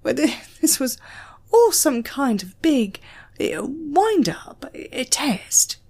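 Slow, expressive British woman narrating. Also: high-pitched. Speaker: audiobook narrator. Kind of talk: scripted dramatic narration of fiction.

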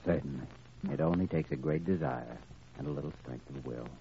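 Earnest doctor, low gravelly voice. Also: male voice, brisk. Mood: earnest, persuasive. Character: earnest, quietly firm young male doctor. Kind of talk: old-time radio drama acting. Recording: muffled, noisy old radio recording.

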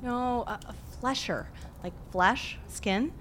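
A woman's voice: fairly high pitch, takes it slowly, fairly bright tone, a little hiss or hum. A woman playing a breezy, chatty friend with morbid relish in an audio drama. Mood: chirpy. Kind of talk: naturalistic audio drama acting.

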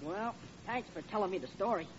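A man's voice: sits high, a little fast, close to an everyday voice. An actor playing a polite, eager young man about to be married, in a 1940s radio comedy. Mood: relieved, confident. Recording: muffled, noisy old radio broadcast.

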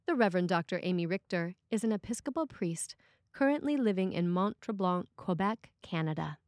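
A woman priest reading a scripted sermon in a measured, deliberate way. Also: lowish voice, animated, darkish tone, no room sound, studio quality.

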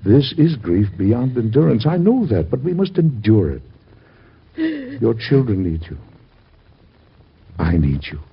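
A husky grieving president father. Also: medium pitch, huge pitch swings, lo-fi sound, full character voice. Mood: stern. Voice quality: husky, gravelly. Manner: solemn, measured, gently reassuring.

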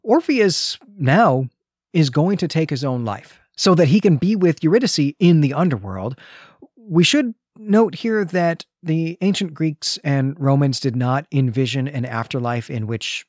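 A man's voice: fairly high pitch, expressive, measured pace, a little mellow, no room sound, clean studio sound. A man co-hosting a literary podcast, explaining and analysing in a conversational way, often checking his point as he goes. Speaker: podcast co-host. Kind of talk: conversational literary discussion of a comic.

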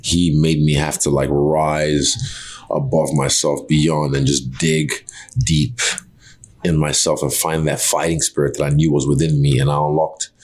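A man with an extremely deep voice whose first language is Yoruba talks as a guest in a casual interview. He speaks with intensity and emphasis and swears loosely. He sounds like a fighter in his thirties. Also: unhurried, darkish tone.

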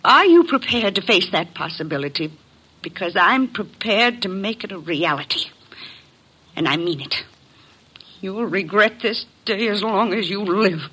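An actress playing a jilted, vindictive married woman in an old radio drama, with a melodramatic, drawn-out delivery. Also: mid-range voice, animated, bright, forceful tone, husky, full character voice. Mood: bitter, threatening. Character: jilted, vindictive married woman, a doctor's patient and spurned lover.

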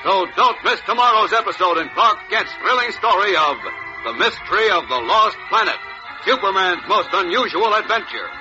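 High, emphatic old-time radio announcer man. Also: animated, normal speed. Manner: emphatic, suspense-building. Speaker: serial announcer. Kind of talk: dramatic 1940s radio announcing. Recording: muffled vintage radio, loud music behind.

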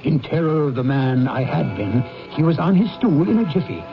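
A man's voice: fairly high pitch, big pitch swings, moderate pace, vocal fry. A man playing a reformed old miser, merry and mock-stern, in theatrical 1970s radio drama style. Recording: muffled 1970s radio broadcast, faint music.

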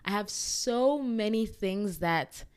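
Young woman, solo podcast host; casual, excitable chatter, smooth and clear tone. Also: mid-range voice, takes it slowly. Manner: chatty and excitable, full of fillers.

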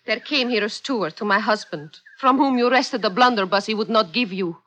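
Accusing foreign widow female actor, stilted formal lines, forceful tone, 1950s radio melodrama. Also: on the high side, brisk, lightly acted. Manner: formal, theatrical, stilted phrasing. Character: anguished, accusing newly widowed foreign wife. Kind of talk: 1950s radio drama acting. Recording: old 1950s radio broadcast.

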